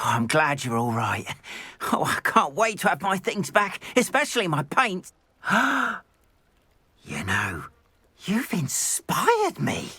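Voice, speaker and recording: Husky, very animated goblin merchant. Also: female voice, medium pitch, dry recording, full character voice. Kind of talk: dramatic video game dialogue.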